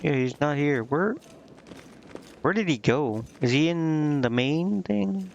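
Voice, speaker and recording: A man casually commentating a game live and reading its text aloud, thinking out loud in a rambling way and stumbling over unfamiliar words. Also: a little high, expressive, takes it slowly, vocal fry, dull audio, slight background noise. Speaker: game streamer.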